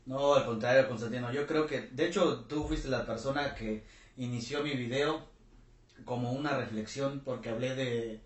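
Interviewer, warm and hesitant; roomy recording. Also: male voice, mid-range voice. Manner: warm, halting with many fillers. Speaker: interview host, channel host. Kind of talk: casual interview. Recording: roomy echo.

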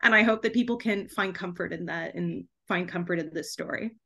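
A woman's voice: on the low side, a little fast, creaky, sharp tone. A woman chatting casually and effusively as an interview guest on a podcast, in a giggly, informal way. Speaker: guest author and podcast host.

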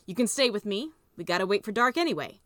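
Casting: woman playing a gruff young country lad for an audiobook, folksy and stubborn, big-brother type. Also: mid-range voice, animated, fast, dry recording, clean studio sound, lightly acted. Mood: decisive. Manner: folksy, plainspoken. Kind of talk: children's audiobook character voice.

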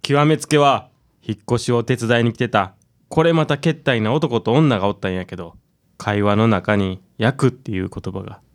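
A man's voice: medium pitch, normal speed, lightly acted. A man with a Kansai accent playing a gossipy young working man passing on tips in a radio drama, colloquial and conspiratorial.

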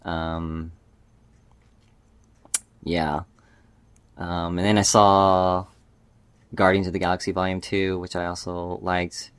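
A man giving his opinions in a casual film discussion, with halting, loose phrasing. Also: low voice, level tone, fairly bright tone, clean tone, no echo. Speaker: podcast panelist.

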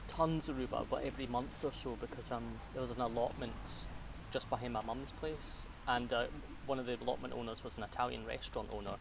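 A man's voice: mid-range voice, steady tone, a little fast, vocal fry, few pauses. A Scottish man in his thirties talks casually while gardening and laughs easily. He sits a little far from the mic, with a faint hiss of outdoor ambience behind him. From Scotland. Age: 30s. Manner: chatty, laughs often, trailing fillers. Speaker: podcast guest.

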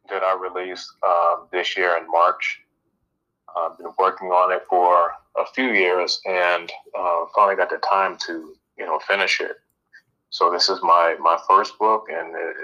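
A man from Connecticut and Northern Virginia answers as an author guest on a podcast. His voice sits very low but has a bright edge. He talks hesitantly, full of ums and you-knows. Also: rough recording. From Stamford, Connecticut and Northern Virginia.

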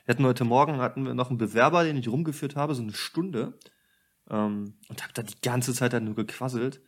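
A man's voice: medium pitch, brisk. Male podcast host at home: chatty, jokey, voice slightly worn from a day of talking. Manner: jokey, chatty, addresses listeners. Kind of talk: casual podcast chat. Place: home apartment.

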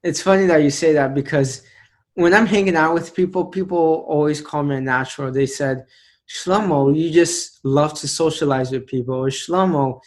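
A man in his late twenties, a motivational speaker telling his story as an interview guest, earnest and emphatic, slowing down to stress key phrases. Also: fairly high pitch. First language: an Indian language. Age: 20s.

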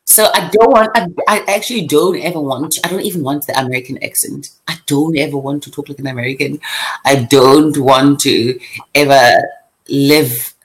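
A young woman with a South African accent talks in a relaxed podcast chat. She is lively, laughs easily and often restarts her sentences in quick bursts. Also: sits low, poor audio. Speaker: guest. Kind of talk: casual chat.

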